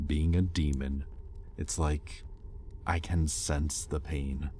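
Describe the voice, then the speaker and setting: A man's voice: a bass voice, fairly even intonation, takes it slowly, a little hiss or hum. A man playing a bitter, conflicted demon in a roleplay audio drama, speaking to his captive with brooding intensity. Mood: bitter confession. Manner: brooding, intense, swinging between hushed confession and heated accusation. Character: bitter, conflicted male demon, possessive owner of a captive angel. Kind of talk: scripted roleplay audio acting, addressed to the listener.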